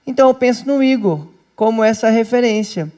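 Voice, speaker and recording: Mellow-voiced pastor chatting to his flock. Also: very high-pitched, brisk, clear, resonant tone. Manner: lively, chatty, addresses listeners as brothers and sisters. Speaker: pastor and host. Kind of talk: casual talk to a small church group. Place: indoor home church group meeting.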